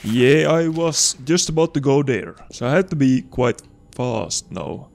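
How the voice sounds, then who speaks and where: Excitable young man commenting on gameplay. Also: mid-range voice, unhurried, vocal fry, slight background noise. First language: not English. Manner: excitable, swears, talks to viewers. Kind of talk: casual let's play commentary.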